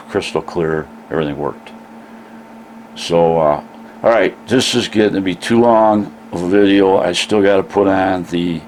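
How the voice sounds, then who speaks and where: An older man with a scratchy voice, talking casually to his vlog audience with many pauses and "uh"s. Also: low voice, a little mellow. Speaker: vlogger. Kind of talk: casual vlog talk to camera.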